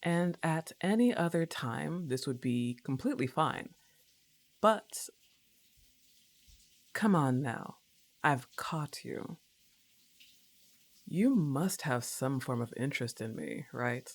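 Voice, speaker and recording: A woman acts out a young goth roommate in an ASMR roleplay, her voice low for a woman and slow. She is laid-back and casual, teasing and coaxing with a slightly annoyed edge. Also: animated, exaggerated voice. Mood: mildly annoyed, probing.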